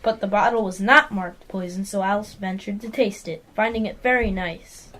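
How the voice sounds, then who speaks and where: A woman's voice: mid-range voice, normal speed, fairly bright tone, natural voice. A young female performer playing a reflective author who narrates a storybook tale in an amateur radio play. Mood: storytelling.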